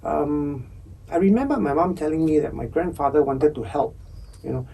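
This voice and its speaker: Podcast guest: middle-aged Singaporean man, wry candid storyteller, husky tone, distant mic. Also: fairly high pitch, moderate pace, mellow. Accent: Singaporean English. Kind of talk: casual interview chat.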